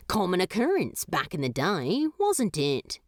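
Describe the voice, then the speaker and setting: A woman's voice: lowish voice, huge pitch swings, slow pace, dry recording, studio quality, exaggerated voice. A woman voicing a smug, sardonic male demon who drawls and teases.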